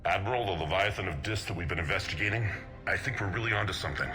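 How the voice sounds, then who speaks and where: An actor playing an earnest, driven older research scientist in a video game. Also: male voice, deep voice, rapid-fire, close to an everyday voice. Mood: urgent, intrigued. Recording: music under the voice.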